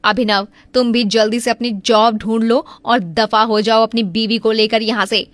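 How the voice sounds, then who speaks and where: A woman playing a high-voiced, scheming, spiteful sister-in-law. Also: fairly even intonation, moderate pace, no echo, natural voice. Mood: harsh scolding. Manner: theatrical, scolding and falsely pleading. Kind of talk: Hindi cartoon story acting.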